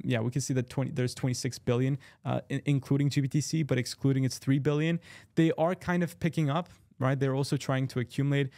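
A man's voice: medium pitch, a little mellow, no room sound. Young man with a sore throat, dry close mic. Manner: quick, filler-heavy, with frequent restarts. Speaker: crypto chart analyst. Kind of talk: casual chart walkthrough. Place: small room at a desk. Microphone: large studio mic close in frame.

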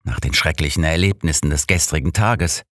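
A man voicing a brisk, impatient, probing elderly detective in an audiobook. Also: a bass voice, a bit bright, no room sound, clean studio sound.

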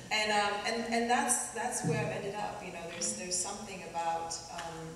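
A woman from Kenya with a Kenyan accent, speaking thoughtfully and haltingly as an artist on a panel stage. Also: medium pitch, steady tone, a bit bright, slight background noise. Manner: thoughtful, halting, many pauses and restarts. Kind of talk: reflective onstage conversation. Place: stage in a large auditorium. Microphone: stand mic a little away. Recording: echoey hall, distant mic.

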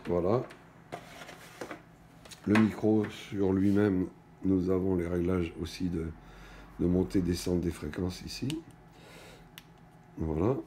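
A middle-aged man giving an unscripted hands-on product demonstration, speaking in halting, stop-and-go phrases with trailing pauses. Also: deep voice, little pitch movement, dark tone, a little hiss or hum. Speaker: product presenter. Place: indoors at a wooden table in a small shop or office.

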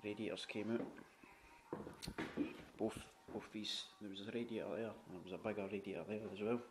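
A man giving a casual walkthrough of a flat he is renovating, chatty and frugal, swearing casually, recorded on a handheld camera indoors. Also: lowish voice, normal speed, very noisy. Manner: chatty, frugal, swears casually, repeats "you know what I mean". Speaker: home renovator. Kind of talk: walkthrough tour narration. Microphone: handheld camera mic.

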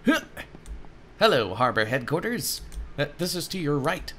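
A man's voice: on the high side, sing-song, moderate pace, bright, forceful tone, soft background music. A man in his thirties giving casual let's play commentary as a gaming streamer.

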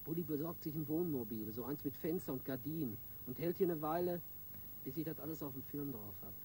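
An actor playing a cool, bossy robber in a radio crime drama, giving businesslike, colloquial instructions. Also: male voice, on the high side, lively intonation, fairly quick, warm tone, a little hiss or hum, lightly acted, low-quality recording. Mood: cool, commanding. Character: cool, bossy male robber planning a heist.

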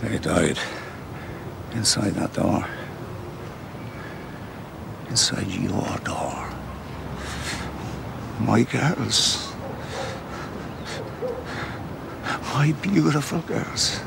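An actor in his sixties with a Dublin accent playing a grieving, bitter older father, intense, halting and accusing, in a television drama. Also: fairly low pitch, big pitch swings, vocal fry, background noise, full character voice. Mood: anguished. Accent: Dublin Irish.

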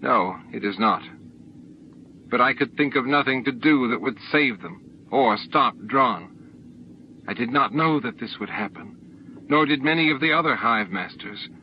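A man's voice: mid-range voice, expressive, a little slow, slight background noise, lightly acted. A man voicing a guilt-ridden, protective male alien in an audiobook. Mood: troubled, defensive. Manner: earnest, troubled. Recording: dull lo-fi audiobook tape recording.

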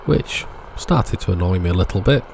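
Deep, gravelly man narrating repairs. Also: expressive, normal speed, noisy background. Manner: plain-spoken, step-by-step explaining in short phrases. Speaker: electronics repair channel host. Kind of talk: casual workbench repair narration.

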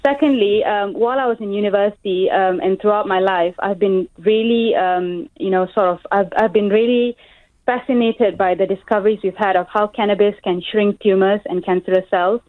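A young woman from Malaysia, working as a pharmacist, calling a radio phone-in and explaining her view earnestly with many pauses and ums. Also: mid-range voice, steady tone, dry recording. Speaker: caller, pharmacist. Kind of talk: phone-in call. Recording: tinny phone line.

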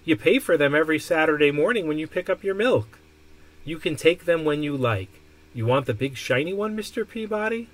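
A man's voice: a little high, lively intonation, brisk, close to an everyday voice, rough recording. A man voicing a cheerful, easygoing male fruit seller in a storybook, chatty and breezy.

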